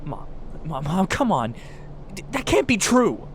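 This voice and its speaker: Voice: medium pitch, sing-song, measured pace. An actor playing a shaken, grieving youth in an audio drama, breathless and near tears, speaking in broken phrases. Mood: disbelieving. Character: shaken, grieving youth losing a beloved uncle. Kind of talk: emotional audio drama acting.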